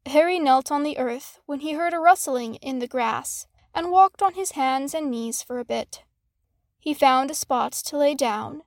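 A woman narrates scripted fiction in a high voice. The recording is dry, with hardly any room sound. She reads the prose as an audiobook narrator. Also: dry recording.

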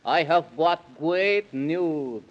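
Casting: tiny fantasy herald, high voice, urgent and proclaiming, old muffled radio drama. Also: male voice, expressive, very slow. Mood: solemn, announcing. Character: small, urgent, excitable magical messenger. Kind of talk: 1930s children's radio serial acting. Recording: dull vintage 1930s radio recording.

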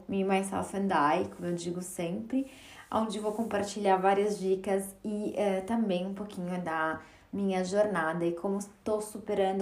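Thirties woman hosting her podcast, slight italian accent, gravelly fry in the voice, chatty and heartfelt. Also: mid-range voice, a little mellow. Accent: Italian-tinged Portuguese. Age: 30s. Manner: chatty, emotional, with filler words and restarts. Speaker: podcast host. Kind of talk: casual solo podcast talk.

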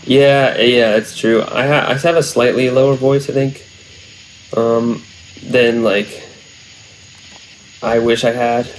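A young man talking in a relaxed, rambling way, full of fillers and restarts, in a casual podcast chat. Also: mid-range voice, takes it slowly, long pauses, slight background noise, rough recording. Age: young adult.

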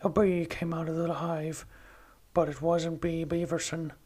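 Sweet, slow-witted storybook bear, put on by a podcast host, soft and unhurried. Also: male voice, fairly high pitch, level tone. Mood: gentle. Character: gentle honey-loving male cartoon bear. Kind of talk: amateur storybook impression.

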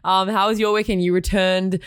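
An Australian woman co-hosting a sports podcast, a little croaky after a weekend, bright and chatty. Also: medium pitch, level tone, a little fast, no echo, studio quality. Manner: bright and chatty, laughs often. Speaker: podcast co-host. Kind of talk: sports news podcast reading and chat.

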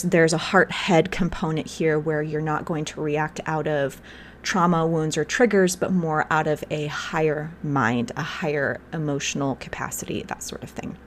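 A woman hosting a podcast in a casual, rambling way, slightly hoarse, sometimes reading aloud from a website. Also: low-pitched, slight background noise. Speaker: podcast host. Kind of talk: casual spiritual podcast talk.

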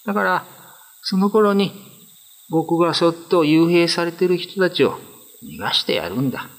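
A man's voice: on the high side, expressive, moderate pace, pitched above the performer's own voice. A man playing a kind, modest young male prison guard in a radio drama, with casual, boyish, reassuring speech. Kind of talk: Japanese radio drama acting.

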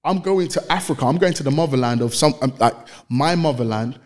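A man's voice: mid-range voice, fairly quick. A man with an East London accent chatting casually as a podcast guest, earnest and slangy, repeating phrases for emphasis. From East London, England.

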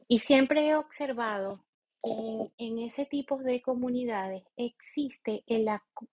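A woman with a Venezuelan accent, giving a reflective informal monologue as a podcast host in a halting, deliberate manner. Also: fairly high pitch. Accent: Venezuelan Spanish. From eastern Venezuela. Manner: halting, deliberate, thinking aloud. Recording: slightly dull, lo-fi sound.

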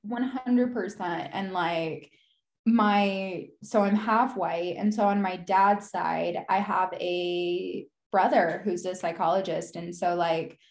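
A woman's voice: on the low side, steady tone, takes it slowly. Reflective young woman, casual and candid. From United States. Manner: casual, frequent "like" fillers, reflective. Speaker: podcast guest. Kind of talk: candid conversational interview.

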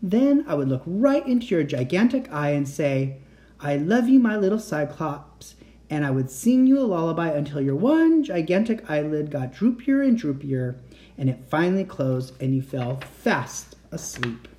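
A man doing a soft, doting mother. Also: on the high side, very animated, normal speed. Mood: tender. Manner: soothing, tender reassurance.

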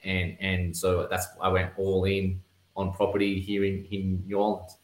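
Australian man, low voice, echoey room. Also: monotone, unhurried. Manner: rambling, many "you know" fillers and restarts. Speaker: podcast guest, real estate investor. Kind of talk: casual interview storytelling.

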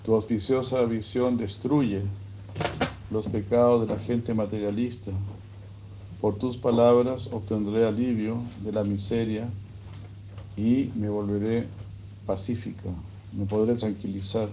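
Slow, dark-voiced male preacher. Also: fairly low pitch, flat delivery, highs cut off, a little hiss or hum. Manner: slow, measured storytelling with pauses. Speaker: religious speaker. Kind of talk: sermon retelling scripture.

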